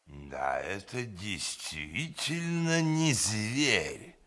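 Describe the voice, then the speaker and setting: Slow, pedantic scholar, lowish voice. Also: male voice, sing-song, pushed and bright, exaggerated voice. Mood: pedantic, haughty. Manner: pedantic, deliberate. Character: pedantic, haughty learned scholar of giants. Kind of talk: theatrical radio play acting.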